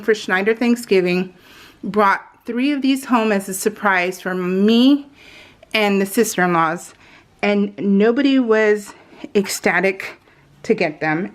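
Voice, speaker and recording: A middle-aged American woman telling personal stories in a casual, informal, animated way, slipping into retold dialogue. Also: medium pitch, slow. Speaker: craft video host. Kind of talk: casual chatty storytelling.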